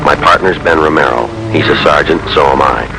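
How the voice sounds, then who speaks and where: Very deep-voiced, deadpan American police detective. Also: male voice, a little fast, creaky, music in the background, low-quality recording. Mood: deadpan, stoic. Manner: deadpan, clipped. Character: terse, stoic male police detective sergeant. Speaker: narrator and lead actor. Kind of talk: 1950s police drama voice-over and dialogue.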